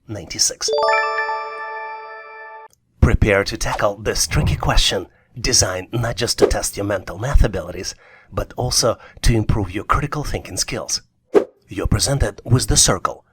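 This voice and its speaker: A man's voice: fairly low pitch, fairly bright tone, breathy edge. A man narrating a scripted puzzle tutorial as an instructor, encouraging and speaking directly to the listener. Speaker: online test-prep instructor.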